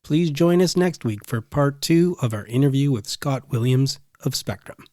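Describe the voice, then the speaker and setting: A man's voice: medium pitch, expressive, normal speed, mellow. A man hosting a podcast, delivering a scripted show outro. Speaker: podcast host.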